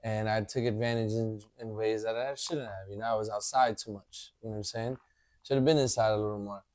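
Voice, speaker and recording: A man in his thirties, a podcast guest, talking in a relaxed way and often adding "you know". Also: fairly low pitch, a little fast, no echo. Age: 30s. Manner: laid-back, leans on "you know" a lot. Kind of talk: casual chat.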